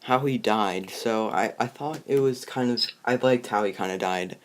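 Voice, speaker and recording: A young man hosting a casual review chat, talking in a halting, rambling, informal way. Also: on the low side. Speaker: host of a movie review video.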